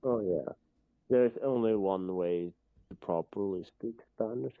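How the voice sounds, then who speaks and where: A man with a very deep, low voice cracks dry, teasing jokes during a stream chat. His sound is thin and tinny, like a phone line, and dry with no room sound. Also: unhurried, no echo. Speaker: stream guest. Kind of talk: casual chat over a call. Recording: tinny phone-like call audio.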